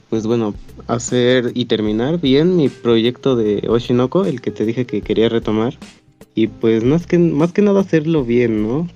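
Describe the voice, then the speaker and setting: A man's voice: mid-range voice, dark tone, muffled recording. A young adult man with a Mexican accent, chatting casually as an interview guest about his hobby. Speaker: interview guest, amateur fandub voice actor.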